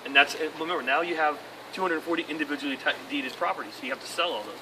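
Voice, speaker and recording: A man's voice: on the high side, very fast. A man touring a property, explaining in a rambling way how condo conversions work, often restarting his words. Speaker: real estate agent explaining condo conversions. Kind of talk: casual explanation on a property tour. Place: apartment complex outdoors, then a condo unit. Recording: distant voice with background noise.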